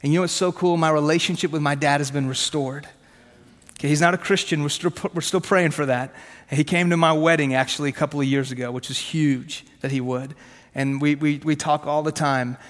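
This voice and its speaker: A man whose first language is Farsi preaches with brisk, conversational punch, firing rhetorical questions and teasing himself. His voice sits a little higher than most men's. A long reverb hangs around him, as in a big church hall. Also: steady tone. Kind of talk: sermon with personal testimony.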